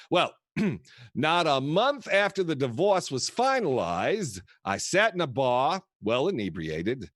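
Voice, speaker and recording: An actor playing a kooky old grandfather who tells rambling, theatrical, halting stories to his grandchildren in a comedic audio play. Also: mid-range voice, fairly bright tone, no echo, clean studio sound, exaggerated voice. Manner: theatrical, wistful, halting storyteller.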